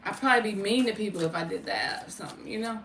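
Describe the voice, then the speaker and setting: Female tarot livestreamer, informal and chatty, sharp bright tone, echoey room with faint noise. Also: mid-range voice, rapid-fire. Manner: informal, chatty, addresses viewers by name, mild swearing. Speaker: tarot card reader and livestream host. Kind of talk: casual livestream chat with spiritual tarot readings. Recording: roomy, distant mic with faint noise.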